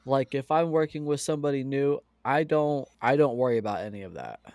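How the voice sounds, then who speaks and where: American guy on a podcast, mid pitch, dry close mic, lots of likes and you knows. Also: lively intonation, a little fast, creaky, darkish tone, no room sound. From United States. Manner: rambling, frequent fillers and restarts. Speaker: podcast co-host. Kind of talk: casual podcast chat.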